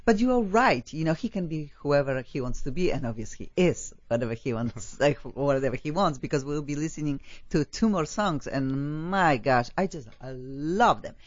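A woman's voice: deep voice, lively intonation, moderate pace, hardly any pauses, dry recording. A woman hosting a talk show interview, gushing and enthusiastic, with many fillers. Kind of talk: effusive interview chat. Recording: dull lo-fi, dry.

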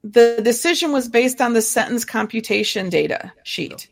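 A woman from Ohio co-hosting a podcast, chatting in an animated way with quick asides. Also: fairly high pitch, gravelly. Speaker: podcast co-host. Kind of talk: casual podcast chat.

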